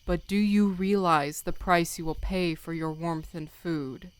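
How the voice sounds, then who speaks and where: A woman's voice: lowish voice, fairly even intonation, clean tone, close to an everyday voice. Woman playing a stern, dignified cat clan leader. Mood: solemn. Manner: measured, solemn, commanding. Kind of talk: audiobook fandub acting.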